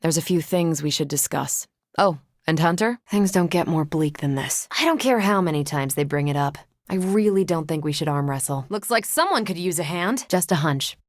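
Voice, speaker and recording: A woman's voice: low-pitched, lively intonation, normal speed, dry recording, studio quality. An American woman voicing a confident, wry young superhero leader in a video game, with breezy, sardonic delivery.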